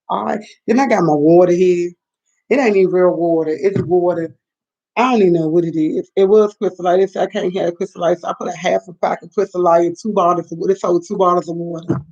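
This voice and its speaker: A woman's voice: fairly low pitch, dark tone. Chatty woman in her fifties. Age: 50s. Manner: rambling, chatty, reacts to viewer comments. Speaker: livestream host. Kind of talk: late-night live chat with viewers. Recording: dull lo-fi sound.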